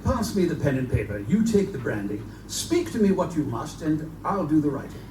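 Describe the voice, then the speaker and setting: Man playing high-voiced, concerned gentleman professor. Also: very animated, brisk. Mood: anxious, reasoning. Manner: formal, exclamatory, solicitous. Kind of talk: live gothic stage drama. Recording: echoey distant live recording, background noise.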